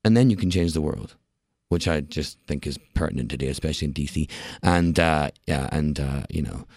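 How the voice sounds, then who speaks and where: A very low-voiced man in his late thirties, born in Scotland, talking as an interview guest. He spins expressive anecdotes with wry asides and laughs, recorded close and dry in a podcast studio. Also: brisk, dry recording. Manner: expressive storyteller, wry jokes, frequent laughs. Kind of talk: casual interview talk.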